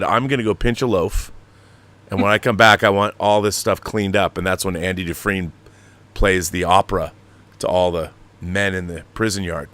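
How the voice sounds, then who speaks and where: A man hosting a casual livestream, explaining things in a rambling, animated, slangy way, like a director talking shop. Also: fairly low pitch. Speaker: show host and audio drama director.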